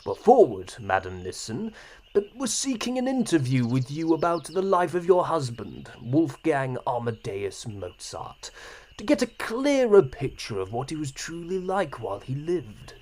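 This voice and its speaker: A man playing a polite, apologetic gentleman interviewer in a period audio drama, speaking haltingly and courteously. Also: on the high side, wildly swooping pitch, exaggerated voice.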